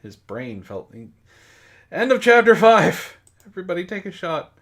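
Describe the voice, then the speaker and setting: A man's voice: high-pitched, sing-song, measured pace, a bit bright. A man co-hosting a comedic book club, reading amateur fiction aloud in a mock-serious way with joking asides. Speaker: podcast co-host and story reader.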